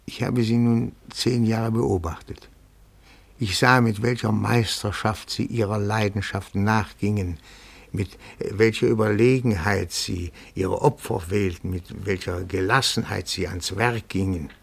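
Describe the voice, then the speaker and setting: An actor playing a timid, pedantic petty-bourgeois amateur detective in a radio play, speaking formally and deferentially with meticulous phrasing. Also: male voice, lowish voice, steady tone, unhurried, creaky, slightly rough, dry recording, exaggerated voice. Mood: reverent, confessing.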